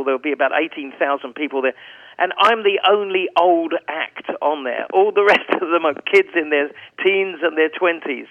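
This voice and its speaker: A man in his seventies talks with a gravelly rasp that sits a touch high for his age. He rambles into stories, restarting his sentences as he goes. The sound comes over a thin, tinny phone line. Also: no room sound. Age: 70s. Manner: chatty, rambling with restarts and anecdotes. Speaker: guest singer. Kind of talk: casual interview chat.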